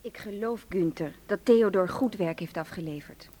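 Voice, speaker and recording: An actress playing a cool, calculating conspirator in a radio drama, analytical and self-assured. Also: female voice, mid-range voice, moderate pace, warm tone, close to an everyday voice. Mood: coolly satisfied.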